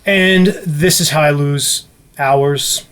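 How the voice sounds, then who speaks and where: A man's voice: fairly high pitch, steady tone, slow, fairly bright tone, clear, resonant tone. A man talking casually as a music producer, walking through a gear demonstration. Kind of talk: casual explanatory tutorial talk.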